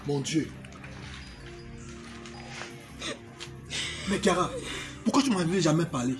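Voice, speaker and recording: A man's voice: fairly high pitch, lively intonation, quick pace, music in the background, natural voice. A young man acting in a French screen drama as a devoted, anxious boyfriend who pleads and repeats his questions. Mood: alarmed, distressed. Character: devoted young boyfriend, anxious, tender but quick to outrage.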